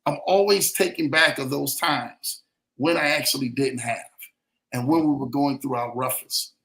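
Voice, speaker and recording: A man's voice: mid-range voice, normal speed, vocal fry, fairly bright tone. A man from New Orleans giving motivational podcast talk as a credit coach, emphatic and preacherly, addressing listeners directly. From New Orleans, United States. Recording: roomy, echoing sound.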